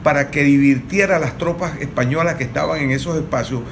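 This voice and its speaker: A Venezuelan man speaking as an analyst on a political panel, explaining in a didactic, measured way. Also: fairly high pitch. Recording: clearly noisy recording.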